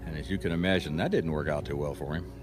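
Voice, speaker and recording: A man playing a wry, persistent private detective in a radio mystery, talking in measured, dry wisecracks. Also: extremely low pitch, soft background music. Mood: matter-of-fact, wry.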